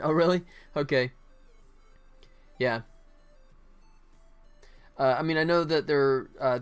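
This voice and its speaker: American male livestreamer, mid-pitched, informal and rambling, talking through his work over background music. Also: clean tone. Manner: rambling, thinking aloud. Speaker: livestream host, game developer. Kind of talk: casual livestream chat while working.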